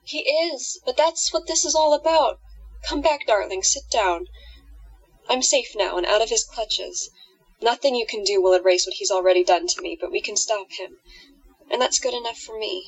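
A woman's voice: on the high side, moderate pace, breathy edge, no echo, rough recording. A woman playing a wronged, determined heroine biding her time in an amateur audio drama. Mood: resolute, soothing.

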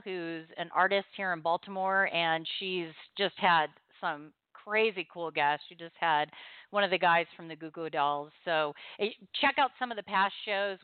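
A woman's voice: lowish voice, smooth, dry recording, narrow, tinny audio. A woman hosting a radio show, talking casually and reading aloud in a studio.

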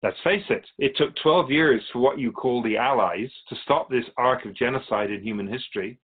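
A man's voice: mid-range voice, husky, phone-quality sound, lightly acted. A man playing a wise otherworldly keeper of souls in a radio drama, courteous, philosophical and wry as he tells stories. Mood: grave, earnest. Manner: measured, philosophical, gently challenging. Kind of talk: radio drama dialogue, storytelling.

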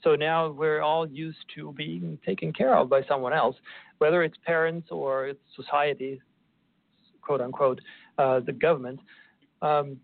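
A man with a Swedish accent, a professor guest, answering in a measured way and often repeating words. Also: a little high, steady tone, gravelly, no echo. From Sweden. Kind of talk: conversational interview. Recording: tinny phone line.

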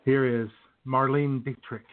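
A man's voice: medium pitch, little pitch movement, unhurried. A man co-hosting a music radio show, introducing songs with casual, folksy, jokey banter. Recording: tinny phone-like sound.

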